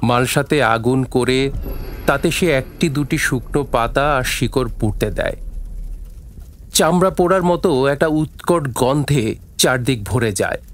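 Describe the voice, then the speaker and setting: A man's voice: medium pitch, moderate pace. A man narrating a scripted dramatic story as the narrator of an audio play. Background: faint music.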